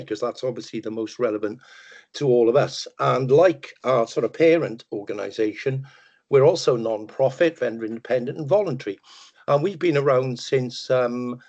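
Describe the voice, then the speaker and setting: An older man with a Welsh accent giving a relaxed, joking presentation over a video call, with plenty of ums and uhs. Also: mid-range voice, normal speed. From Wales. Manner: relaxed, chatty, self-deprecating humour with many ums and uhs. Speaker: webinar presenter. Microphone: video call microphone. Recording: muffled video call audio.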